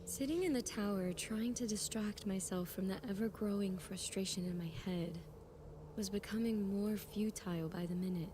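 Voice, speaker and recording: A woman's voice: mid-range voice, moderate pace, noisy background, lightly acted. A woman playing a proud, impatient warlock, narrating in the first person in a fan audio drama. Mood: frustrated, indignant. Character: frustrated, headstrong young female warlock, proud and impatient.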